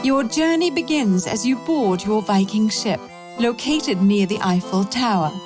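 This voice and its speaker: A woman's voice: mid-range voice, lively intonation, measured pace. A woman reading a polished, scripted promotional travel narration. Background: music.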